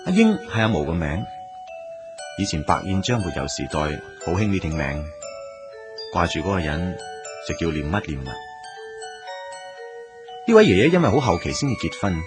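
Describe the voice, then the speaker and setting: A man acting as a wistful young man in a radio drama, speaking and narrating in Hong Kong Cantonese. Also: low-pitched.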